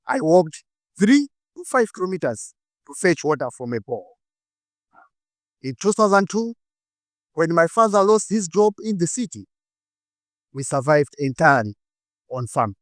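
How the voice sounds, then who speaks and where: A man with a Malawian accent delivers a prepared inspirational talk in a slightly high voice. The sound is very dry, with almost no room echo. He speaks in short, deliberate phrases and leaves dramatic pauses between them. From northern Malawi. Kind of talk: rehearsed inspirational public speech with personal storytelling.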